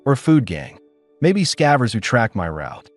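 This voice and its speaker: A man's voice: mid-range voice, sing-song, normal speed, faint music behind. A man voicing a practical loner mechanic who speaks in clipped, matter-of-fact lines.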